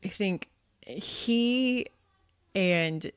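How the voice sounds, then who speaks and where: A woman co-hosting a podcast, giving her opinions in a lively, casual way and laughing often. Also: mid-range voice, drawn-out words, clean tone, no room sound. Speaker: podcast co-host. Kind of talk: casual chat.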